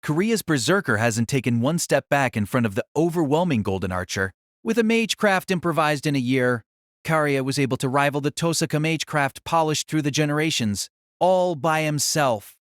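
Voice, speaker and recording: A man's voice: on the high side, expressive, a little fast, no room sound, studio quality. A man narrating an audio drama in a dramatic, scripted style that rises and falls with the action.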